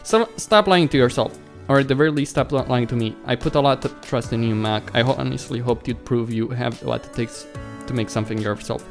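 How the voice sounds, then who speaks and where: A man's voice: medium pitch, fairly quick, gravelly, hardly any pauses, soft background music, lightly acted. Stern, scolding female teammate read by a man. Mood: scolding, disappointed. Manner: scolding, blunt. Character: stern, demanding female esports teammate, hard and determined. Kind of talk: visual novel read-aloud.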